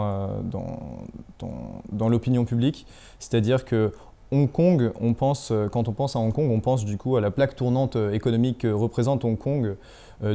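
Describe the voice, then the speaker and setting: A young man answering interview questions, hesitant and searching for words, with frequent pauses and restarts. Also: mid-range voice, warm tone, clean tone. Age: young adult. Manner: hesitant, many fillers and restarts. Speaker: guest.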